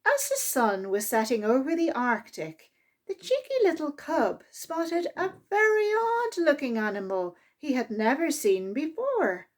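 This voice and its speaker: Slow, high-voiced woman reading a storybook. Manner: expressive, with exclaimed sound effects and asides to listeners. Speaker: library storytime reader. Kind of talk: animated picture-book reading for young children.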